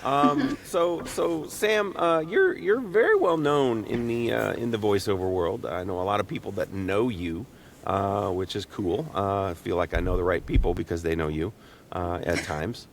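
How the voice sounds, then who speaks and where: A man with a rough, hoarse voice, sick, co-hosting a casual interview with joking, friendly banter. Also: on the low side, animated, moderate pace, slight background noise. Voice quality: rough, raspy. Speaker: podcast co-host interviewing guests. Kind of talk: casual chat.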